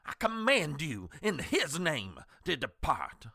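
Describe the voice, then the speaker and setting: A woman's voice: deep voice, huge pitch swings, slow, vocal fry, sharp tone, full character voice. A woman playing a doctor turned exorcist in an audio drama, declamatory, strained and commanding. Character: commanding doctor-exorcist battling a demon by faith.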